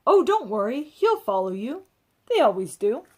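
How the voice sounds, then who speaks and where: A woman's voice: a little high, big pitch swings, moderate pace. A woman voicing a cheery, breezy, reassuring bakery clerk in a children's storybook.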